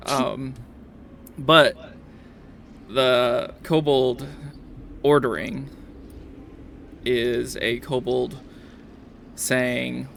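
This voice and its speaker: Guy game mastering a tabletop game, kinda halting and casual, lots of fry in his voice, bit of noise. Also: mid-range voice, level tone, fairly bright tone.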